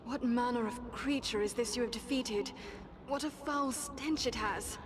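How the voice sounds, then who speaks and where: A woman's voice: a little high, unhurried, background noise, close to an everyday voice. A woman playing a composed, learned elf adventurer in a fantasy video game, speaking formally and precisely. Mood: concerned. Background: loud background music.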